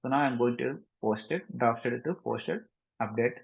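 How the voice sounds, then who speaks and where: A man with an Indian accent giving an informal software tutorial, repeating himself, mixing in English terms and often checking that listeners follow. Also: medium pitch, drawn-out words, gravelly, breathy edge, narrow, tinny audio. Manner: repetitive, frequent check-in questions, mixes English terms into speech. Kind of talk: screen-share tutorial, informal explanation.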